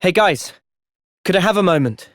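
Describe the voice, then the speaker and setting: A man voicing an earnest, thoughtful young fighter in a video game, measured and sincere but capable of impassioned intensity. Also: high voice, animated, a bit bright, no room sound, studio quality. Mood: thoughtful, steady. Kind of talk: video game cutscene voice acting.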